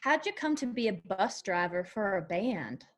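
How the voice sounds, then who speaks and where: A woman's voice: medium pitch, very animated, normal speed, lightly acted. A woman acting a spirited young female band musician in a screenplay table read, speaking casually and expressively. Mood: curious, halting.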